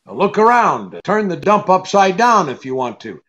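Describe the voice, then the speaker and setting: An actor playing a wary, hard-boiled private detective, curt and sardonic, in 1940s radio drama style. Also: male voice, high voice, big pitch swings, moderate pace, fairly bright tone, lo-fi sound. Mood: irritated, defiant.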